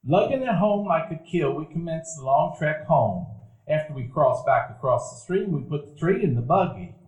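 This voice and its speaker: A man narrating a live radio play calmly, as a grown man recalling his boyhood. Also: on the high side, normal speed. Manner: calm, reminiscent storytelling. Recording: roomy live stage recording.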